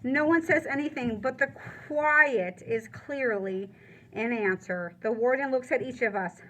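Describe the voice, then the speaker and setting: A woman with a high voice reads a children's novel aloud. She sounds like a teacher reading to a class, giving the story expression and now and then correcting herself. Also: a little slow, sharp tone, rough recording.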